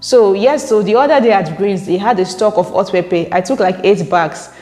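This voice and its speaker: A woman's voice: medium pitch, normal speed, warm tone, soft background music. Nigerian woman chatting in an echoey room. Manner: chatty, explains at length, laughs often. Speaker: vlogger reading viewer comments. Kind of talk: casual chat to camera. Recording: echoey room with long reverb.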